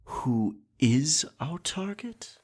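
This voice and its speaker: A man playing a dominant, possessive pirate captain in an intimate ASMR roleplay, teasing and sly, with a raspy, breathy voice. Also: big pitch swings, a little mellow, exaggerated voice. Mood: vengeful relish. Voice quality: raspy, breathy, gravelly low voice. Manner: slow, deliberate, teasing pirate speech.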